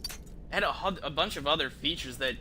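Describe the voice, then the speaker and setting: A man's voice: on the high side, a little fast, sharp tone, a little hiss or hum. A man doing casual, profane and slangy commentary as he livestreams a video game. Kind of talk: casual gameplay commentary to stream viewers.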